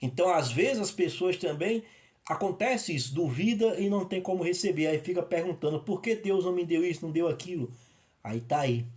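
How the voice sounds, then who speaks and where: A man's voice: sits high, animated, brisk, darkish tone. A man from northeastern Brazil giving a rambling, informal sermon of personal testimony, recorded at home in his apartment. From Northeast Brazil (Rio Grande do Norte). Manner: rambling, with many restarts and repetitions. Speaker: evangelist.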